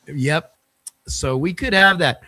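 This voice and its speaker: A man's voice: fairly high pitch, slow pace, bright, forceful tone, no echo. An older man co-hosting a casual sports chat, hesitant and repeating words as an enthusiastic fan. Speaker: podcast co-host.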